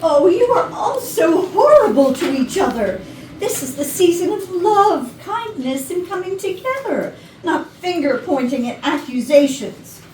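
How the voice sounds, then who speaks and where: A woman's voice: very high-pitched, big pitch swings, measured pace, darkish tone, exaggerated voice. A woman playing a sentimental, reproachful office peacemaker in a staged reading of a comedy. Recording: echoey, distant room, faint noise.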